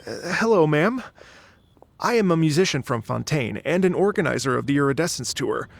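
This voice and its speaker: An actor playing a courteous, flattering musician and festival organizer in a fantasy game, polite and slightly diffident. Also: male voice, fairly high pitch, normal speed, vocal fry, slight background noise. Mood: hesitant, polite. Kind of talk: animated fantasy game voice acting.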